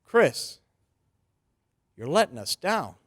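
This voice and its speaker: Man acting an earnest loyal lawyer, fairly high voice, dry sound, radio drama style. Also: sing-song, slow, dry recording, heavily acted. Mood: urgent. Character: earnest, loyal young male lawyer pleading for his friend.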